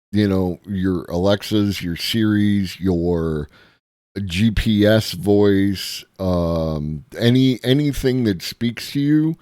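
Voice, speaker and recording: A man streaming live, reacting to a video in a musing, halting way with many ums. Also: low-pitched, warm tone, no room sound, studio quality.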